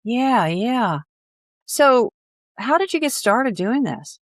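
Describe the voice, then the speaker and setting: A woman's voice: fairly high pitch, big pitch swings, slow, dry recording, clean studio sound. A mature woman hosting a conversational interview, reacting with open interest and encouragement. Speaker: interview host.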